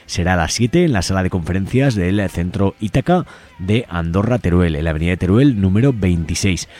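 A man's voice: deep voice, expressive, brisk, warm tone. A man hosting radio, reading out community event announcements in an informal, conversational way. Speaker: radio show host. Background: music.

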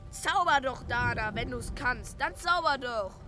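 An actress playing a squabbling little twin brother in a German radio drama, taunting in a childish, repetitive way. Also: female voice, high voice, lively intonation, measured pace, over music. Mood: defiant.

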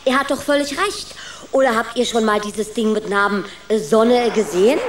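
An actress voicing an outspoken, inquisitive rat in a children's radio play. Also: female voice, on the high side, normal speed, close to an everyday voice. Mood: curious. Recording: echoey room, faint background noise.

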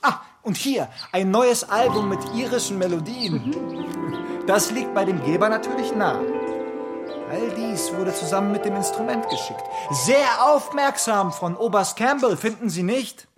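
An actor playing a charming, playful young gentleman in a radio drama, sly and teasing. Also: high voice, animated, measured pace. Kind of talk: German radio drama acting. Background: music.

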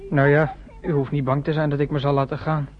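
A man's voice: a little high, little pitch movement, a little fast, lightly acted. Sullen young refugee lad played by a man. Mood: sullen, resolute. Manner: curt, grim, restrained. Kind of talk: Dutch radio drama acting. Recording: dull, lo-fi old radio recording.

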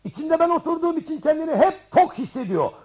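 A man's voice: extremely high pitch, very animated, fast talker, darkish tone. An actor playing a vain, self-satisfied, cheerfully deluded father in a theatrical radio comedy. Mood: smug.

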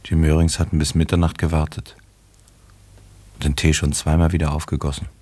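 A man with an extremely low, husky voice narrates a literary radio play. His storytelling is measured and dry, fully scripted. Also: flat delivery, mellow.

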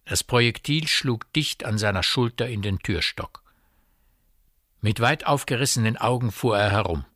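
An actor as the narrator of a radio drama, telling a tense village story in a precise, measured way. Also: male voice, medium pitch, fairly bright tone, no echo, clean studio sound, lightly acted. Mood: measured, suspenseful.

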